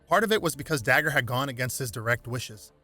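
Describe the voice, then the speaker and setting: A man's voice: mid-range voice, animated, fast, sharp tone, faint music behind. A man reading a superhero story aloud as scripted dramatic narration.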